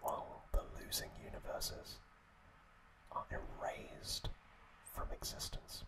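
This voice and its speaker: A man whispering breathily in a halting, gentle ASMR storytelling recap, close to the microphone. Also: low-quality recording. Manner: slow, halting phrases with pauses. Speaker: ASMR host.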